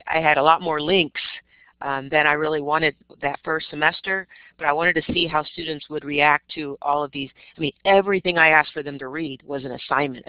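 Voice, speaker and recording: A woman's voice: deep voice, no room sound, dull audio. Woman answering questions on a dry web call. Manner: conversational, hesitant with ums. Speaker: English professor, guest speaker.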